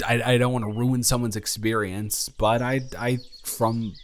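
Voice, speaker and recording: A man's voice: medium pitch, flat delivery, unhurried, lightly acted. A young man playing an awkward, smitten, introverted college dormmate in a romantic audio roleplay, rambling and stammering in a flustered way. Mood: nervous, playful. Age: young adult. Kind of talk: intimate romantic audio roleplay, conversational acting.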